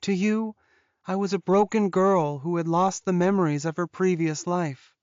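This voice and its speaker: A man performs a young werewolf woman in a fantasy audiobook, pitched well above an ordinary narrating voice. She speaks with raw, pleading earnestness about a painful past. The sound is dry and rather dull. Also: normal speed, a little mellow, clean tone, dry recording, close to an everyday voice. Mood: earnest, grateful. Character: tearful young female werewolf confessing her painful past.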